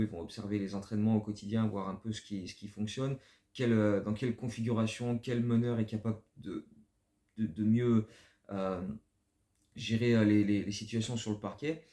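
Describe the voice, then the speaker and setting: A man talks through his channel's basketball commentary in a relaxed, rambling way, often stumbling over repeated words. His voice sits low and sounds warm. The room around him carries a noticeable echo. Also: moderate pace. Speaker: basketball fan channel host. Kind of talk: casual spoken commentary.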